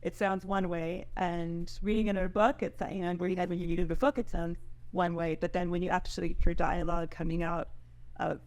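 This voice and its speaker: A woman's voice: fairly low pitch, level tone, brisk. A woman speaking as a screenwriter in a casual Q&A, chatty and loose in her phrasing, often adding "you know" and "like". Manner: chatty, loosely phrased with frequent 'you know' and 'like'. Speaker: screenwriter answering questions. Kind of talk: casual spoken Q&A.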